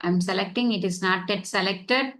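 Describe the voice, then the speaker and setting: A woman's voice: mid-range voice, moderate pace, a bit bright. Online class teacher, woman, native Tamil speaker; step-by-step explainer who keeps checking students are following. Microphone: computer mic on video call.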